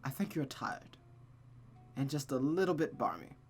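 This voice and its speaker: A reader voicing a doting gentleman lover from a visual novel, gentle, wry and slightly formal. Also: medium pitch, very animated, normal speed, a little hiss or hum, close to an everyday voice. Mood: tender, teasing.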